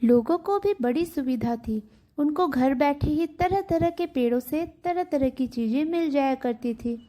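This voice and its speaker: A woman's voice: sits high, moderate pace, mellow. A woman telling a scripted children's story as a storybook narrator.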